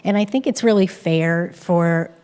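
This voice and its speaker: A woman's voice: fairly low pitch, a little slow, darkish tone, clear, resonant tone. Friendly woman chairing a council hearing. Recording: reverberant council chamber.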